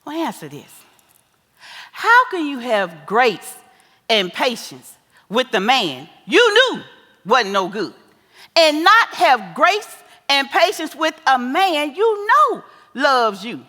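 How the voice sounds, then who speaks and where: A woman acting a sassy, scolding, wise older American mother in a stage play, with an animated, folksy, preachy delivery. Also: high-pitched, slow, exaggerated voice. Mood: scolding, exasperated. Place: live stage in a theater. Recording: echoey theater hall with long reverb.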